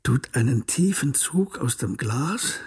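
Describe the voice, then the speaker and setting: An actor playing a melancholy middle-aged man recalling his childhood in measured, reflective radio drama narration. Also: medium pitch, animated, darkish tone, breathy edge, dry recording, studio quality, full character voice. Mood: pensive, subdued.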